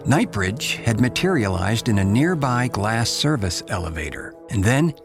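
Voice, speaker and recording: A man narrating a science-fiction radio drama as a solemn storyteller, measured and dramatic. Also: lowish voice, big pitch swings, creaky, over music.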